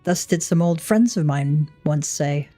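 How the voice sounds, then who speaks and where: A woman's voice: low voice, dark tone, faint music behind, voice pushed down. Woman reading as a composed, lofty mentor. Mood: reassuring. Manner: measured, lofty, old-fashioned phrasing. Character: wise, composed, archaic-spoken encouraging mentor.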